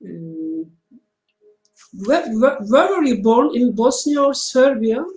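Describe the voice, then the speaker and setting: A man's voice: very high voice, very animated, darkish tone. Turkish-accented guy interviewing on a video call, lots of uhs, roomy sound. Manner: halting, many fillers. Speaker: interviewer and translator. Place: small home room with shelves. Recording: echoey room.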